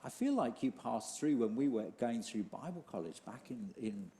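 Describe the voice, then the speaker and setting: A middle-aged man leading an interview in church, talking haltingly with many restarts. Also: medium pitch, sing-song, dark tone. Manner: hesitant, many restarts and fillers. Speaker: church leader hosting an interview. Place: church hall.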